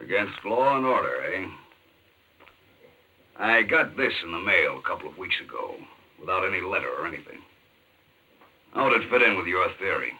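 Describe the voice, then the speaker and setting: An actor playing a gruff, skeptical, blunt American police chief in a 1940s radio crime drama. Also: male voice, low voice, very animated, normal speed, pauses often, pushed and bright, heavily acted, poor audio. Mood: doubtful, wry. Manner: blunt, clipped, tough. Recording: old 1940s radio recording.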